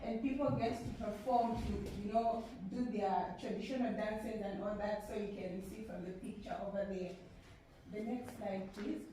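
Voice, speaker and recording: A woman's voice: mid-range voice, fairly even intonation, moderate pace, warm tone. A woman of twenty-four with a Zambian accent, a native speaker of a Zambian language, giving an informal student presentation in a conversational manner. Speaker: student panelist. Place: large echoey room at a public event. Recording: very echoey room, distant mic, faint noise.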